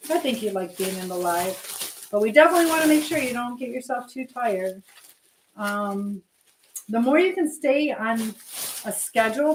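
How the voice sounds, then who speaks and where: A woman's voice: fairly high pitch, background noise. A middle-aged woman hosting a casual live chat from home, talking in a chatty, rambling way as she hops between topics and replies to viewers. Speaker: livestream host.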